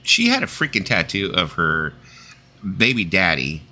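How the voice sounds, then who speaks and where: A man's voice: deep voice, huge pitch swings, unhurried, bright, forceful tone. A man in his fifties hosting a casual stream, telling loose, rambling stories with some swearing. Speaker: stream host. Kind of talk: casual chat.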